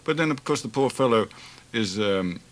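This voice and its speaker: A man's voice: medium pitch, lively intonation, moderate pace. A male opera singer, a guest on a radio interview, talking in a musing, hesitant way with many restarts. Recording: 1980s radio broadcast with faint hiss.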